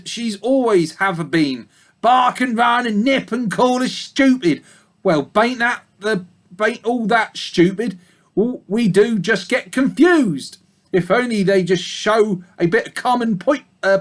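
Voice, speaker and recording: A rustic old ewe, as a man reading a storybook aloud puts her on, her voice high and loud with a slow country drawl. She grumbles in broad dialect, hesitant and chatty, gentle underneath. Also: lively intonation. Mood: wistful. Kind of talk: reading a children's book aloud.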